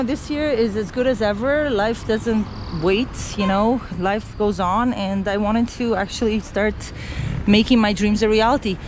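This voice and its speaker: A middle-aged woman from Romania talks as she walks, chatty and loose, full of fillers and restarts. Her voice sits at a middle pitch, recorded on a handheld camera outdoors. Clear street traffic hums behind her. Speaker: travel vlogger. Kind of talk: casual walk-and-talk vlog. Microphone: handheld camera while walking.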